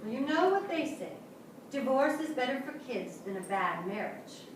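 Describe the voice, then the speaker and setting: A woman's voice: sits high, big pitch swings, moderate pace, far from the mic. A woman in a staged reading playing an anxious divorced mother, emphatic and theatrical. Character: Cynthia, anxious divorced mother of missing daughter. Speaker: actor in reader's theatre. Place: small theatre stage before an audience. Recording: echoey theatre from afar, noisy.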